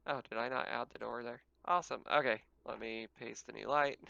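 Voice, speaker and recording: A man's voice: medium pitch, steady tone, moderate pace, vocal fry, sharp tone, no echo. Man running a tabletop game, muffled recording. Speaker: game master. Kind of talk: narrating a tabletop game, casual.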